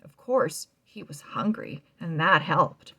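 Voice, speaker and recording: A woman reading a children's novel aloud, slow and expressive, sometimes dropping to a soft whisper. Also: deep voice, husky. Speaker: read-aloud narrator.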